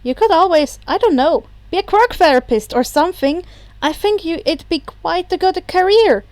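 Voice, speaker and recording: A woman's voice: very high-pitched, animated, moderate pace, a little mellow, no room sound, pitched above the performer's own voice. A woman voicing a cheeky, bubbly teenage boy hero who talks in an eager, informal, persuasive way. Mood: earnest, persuasive.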